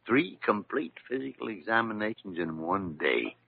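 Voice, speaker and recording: A cranky old doctor. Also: male voice, on the low side, expressive, normal speed, gravelly, a little hiss or hum. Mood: grumbling. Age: older. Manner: grumbling, exclaiming, testy. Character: gruff, cranky old male senior doctor. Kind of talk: 1940s radio acting. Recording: dry lo-fi old radio broadcast.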